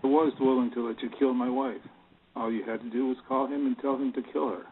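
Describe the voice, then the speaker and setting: A cornered male bank boss in an audio drama played by a man, heard on a tinny line with noise behind it. His voice is mid-pitched, with an exasperated, pleading manner. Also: steady tone, fast talker, lightly acted. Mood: bitter. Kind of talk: audio play acting. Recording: tinny phone-like sound, clear background noise.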